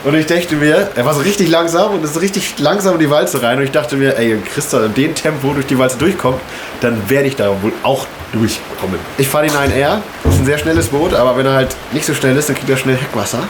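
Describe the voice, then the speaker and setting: Ein junger Mann erzählt locker und umgangssprachlich als Kajakfahrer direkt in die Kamera. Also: male voice, medium pitch, fairly quick. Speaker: kayaker recounting the day. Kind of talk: casual storytelling to camera, slangy. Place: indoors in an unheated house. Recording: echoey room, noticeable background noise.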